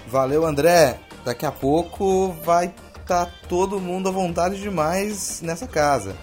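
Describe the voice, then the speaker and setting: High-voiced Brazilian man hosting a podcast. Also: a little slow, darkish tone. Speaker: podcast host; teacher. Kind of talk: informal scripted podcast narration. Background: music.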